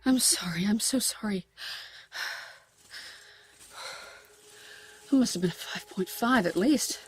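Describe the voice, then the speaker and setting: A woman's voice: medium pitch, brisk, faint music behind, natural voice. An actress playing an overbearing, anxious middle-aged mother in an audio drama. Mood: shaken.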